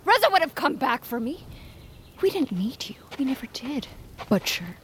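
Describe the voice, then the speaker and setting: An actress playing a fiery, proud young pack leader of hunters, heated and commanding, in a cinematic fantasy audio drama. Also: female voice, sits high, big pitch swings, moderate pace, a little hiss or hum. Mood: furious.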